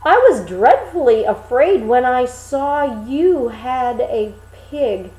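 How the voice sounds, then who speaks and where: A sly storybook countryman, acted by a woman, friendly on the surface and folksy. The old-fashioned speech is deliberate and strongly inflected, with a roomy echo around it. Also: fairly high pitch, mellow. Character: crafty countryman, grave, mock-friendly, warning trader.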